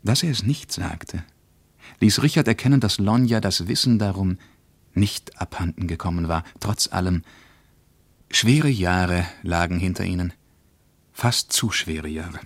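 A deep-voiced, reflective storyteller. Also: male voice, unhurried, dry recording. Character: reflective man recounting a journey. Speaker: narrator. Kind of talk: literary first-person narration, radio play.

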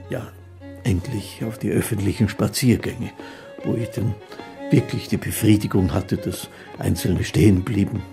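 An actor playing a humble, melancholy street musician who recounts his life in measured, reflective literary radio-play narration. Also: lowish voice, vocal fry, warm tone.

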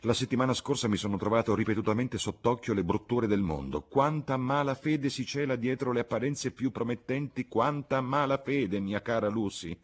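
Snobbish storybook landlord acted by a man: plaintive, fretful, husky, swooping in pitch. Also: big pitch swings, normal speed, exaggerated voice. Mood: aggrieved. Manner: fretful, wordy, exclamatory. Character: fussy, plaintive snobbish male country gentleman landlord. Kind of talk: audiobook character voice.

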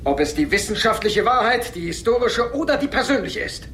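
A man's voice: high voice, sing-song, fairly quick, a bit bright, slight background noise. A man dubbing a stern, upright starship captain, solemn and emphatic, as if lecturing an officer. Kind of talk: German television dubbing.

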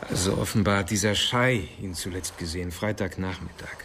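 A man's voice: low voice, fairly quick, fairly bright tone, a little hiss or hum. A man playing a practical, teasing police colleague who talks in a wry, matter-of-fact way in a radio crime drama.

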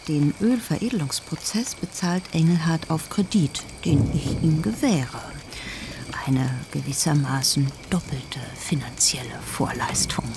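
Colonial businesswoman, deep female voice, dry, matter-of-fact, radio play, clearly noisy ambience. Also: a little slow. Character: businesslike colonial woman creditor, drily recounting. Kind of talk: radio drama narration.

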